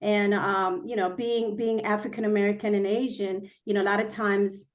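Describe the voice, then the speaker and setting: Korean-accented woman giving a halting speech. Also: medium pitch, flat delivery, dull audio. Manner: earnest, halting with fillers and restarts. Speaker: city councilwoman. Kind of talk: campaign speech.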